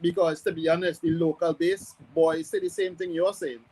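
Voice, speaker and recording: Higher-voiced man, hesitant football pundit. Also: level tone, mellow, husky, poor audio. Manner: hesitant, measured, thinking aloud. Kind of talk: conversational sports analysis.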